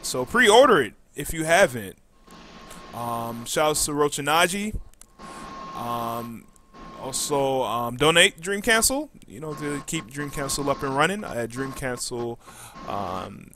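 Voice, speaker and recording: A man hosting a podcast casually, reading out announcements and often pausing mid-sentence. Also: medium pitch, animated, pushed and bright, slight background noise. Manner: halting, frequent pauses mid-sentence. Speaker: podcast host.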